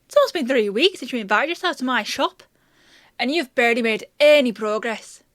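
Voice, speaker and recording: A woman's voice: fairly high pitch, lively intonation, brisk, dry recording, clean studio sound, close to an everyday voice. A woman playing a bossy, teasing female apothecary in a fantasy audio roleplay, with a chatty, sardonic, playfully scolding manner.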